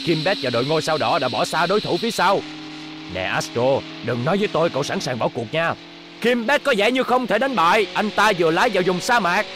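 A man playing an excitable, combative motor-race figure in a Vietnamese cartoon dub. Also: sits high, quick pace, music in the background. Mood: intense.

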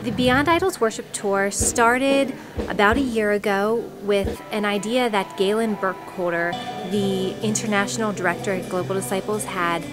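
A woman's voice: mid-range voice, normal speed. Woman explaining over music. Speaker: tour promotions manager. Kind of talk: interview explanation. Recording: music playing behind the voice.

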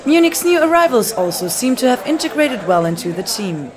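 A woman's voice: on the high side, sing-song, brisk, a crowd in the background. A woman reading a scripted sports report as a reporter narrating a game recap.

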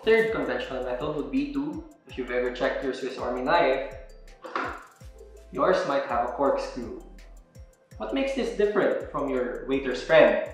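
A man's voice: mid-range voice, measured pace, faint music behind. A young man explaining step by step how to open a wine bottle, in an instructional demo recorded indoors. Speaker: wine presenter. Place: indoor room with a table. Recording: very echoey room.

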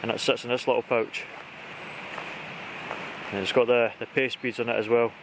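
Casting: British male outdoor vlogger, mid-pitched voice, informal and chatty, talking through kit in a noisy outdoor recording. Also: steady tone, moderate pace. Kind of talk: casual gear walkthrough to camera. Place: outdoors in conifer woodland. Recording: outdoor recording with clear background noise.

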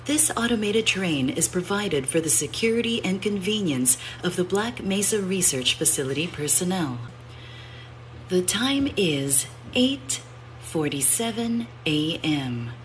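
Casting: woman as impersonal female transit system announcer, game intro, low register, slow and formal. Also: a little hiss or hum. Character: calm automated female train announcement system. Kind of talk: scripted public-address announcement.

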